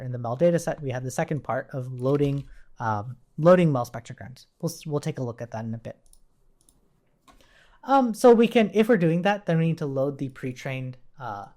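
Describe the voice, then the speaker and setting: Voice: medium pitch, lively intonation, brisk, warm tone. A person giving an informal live-coding tutorial, thinking aloud while typing and often checking in with "right?". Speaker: programming tutorial instructor. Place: indoors at a computer, keyboard typing behind.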